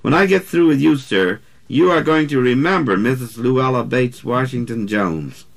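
Man reading as a stern, gravelly, no-nonsense big woman. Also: medium pitch, normal speed. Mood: firm, motherly. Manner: scolding, folksy dialect phrasing. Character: large, stern, no-nonsense motherly older city woman.

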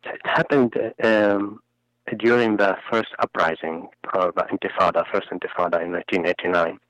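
A man in his forties from Palestine, a non-native English speaker, talking earnestly and haltingly in a phone interview. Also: low voice, fairly even intonation, fairly bright tone, dry recording. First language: Arabic. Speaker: interview guest. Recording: muffled phone recording.

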